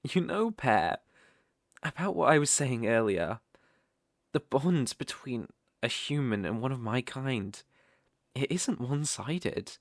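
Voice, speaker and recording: A British man voicing a possessive, smug dark elf master in an intimate ASMR roleplay, teasing and condescending as he coaxes. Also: medium pitch, animated, slow, pauses often, no room sound, clean studio sound. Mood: soft, coaxing. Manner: teasing, condescending, coaxing, with soft chuckles.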